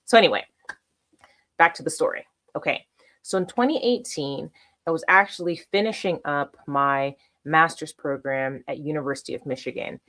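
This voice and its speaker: A woman's voice: sits low, fairly bright tone. A woman in her thirties from South Florida, talking casually to camera as an artist hosting a how-to series, chatty and personal, often checking in with the listener.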